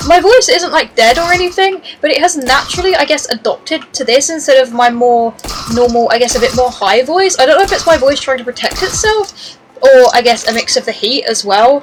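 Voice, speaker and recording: A woman commentating her own gameplay in a casual, chatty, excitable way, swearing now and then, her voice a little lower than usual after days of heavy streaming. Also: background noise. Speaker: gaming streamer.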